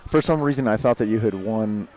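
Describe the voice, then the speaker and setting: A man's voice: on the low side, a little mellow. A man in his late thirties giving chatty, rambling mat-side commentary at a grappling tournament. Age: 30s. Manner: chatty, rambling, quick to laugh.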